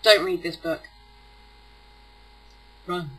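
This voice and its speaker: A woman's voice: fairly low pitch, very slow, a bit bright, breathy edge. A woman giving a theatrical, sarcastic dramatic reading of a novel as an amateur narrator. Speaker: amateur book reader. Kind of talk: mock-dramatic audiobook narration.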